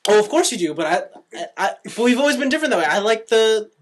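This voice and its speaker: A man's voice: high voice, very animated, quick pace. A man co-hosting a podcast, chatting casually and enthusiastically with strong opinions as a fan. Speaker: podcast co-host. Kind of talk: casual fan chat about anime.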